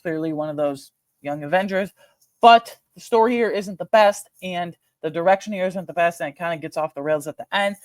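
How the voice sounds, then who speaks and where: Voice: mid-range voice, a little fast, no echo. A person giving casual, opinionated film commentary as a host, speaking informally and repeating phrases for emphasis. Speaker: host ranking films.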